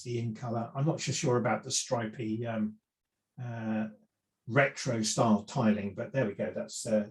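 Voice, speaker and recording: A man's voice: mid-range voice, a little mellow, low-quality recording. Chatty middle-aged male property speaker. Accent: none Welsh. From lived in South Wales for years. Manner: conversational, frequent fillers and restarts. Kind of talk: presenting a seminar talk.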